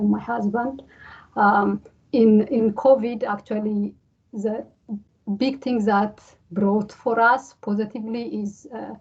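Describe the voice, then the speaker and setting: A woman's voice: medium pitch, vocal fry, a little mellow, husky. A woman from Ethiopia introducing herself on a video call, hesitant, with many fillers and restarts. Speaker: course participant. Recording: dull lo-fi call audio.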